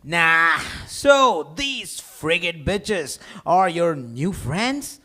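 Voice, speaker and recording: A man's voice: a little high, very animated, extremely slow, bright, forceful tone, heavily acted. A man acting a smug, argumentative schemer in a live comedy table read, with a mocking, emphatic delivery. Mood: smug taunting. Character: smug, argumentative, taunting male bar-owner schemer.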